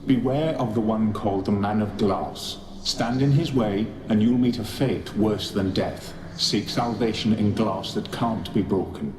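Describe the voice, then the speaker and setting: A man's voice: fairly low pitch, measured pace, hardly any pauses, lightly acted. Man voicing an echoing, theatrical spirit servant. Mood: ominous. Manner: theatrical, formal. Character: eerie, world-weary male spirit servant, theatrical and solemn. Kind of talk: video game dramatic acting. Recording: roomy echo, noisy game ambience.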